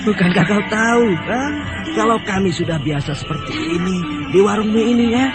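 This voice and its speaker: A man's voice: sits high, fairly quick, lightly acted. A man playing a drunk, boastful young lord. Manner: slurred, rambling, laughing. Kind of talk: audio drama acting. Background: loud background music.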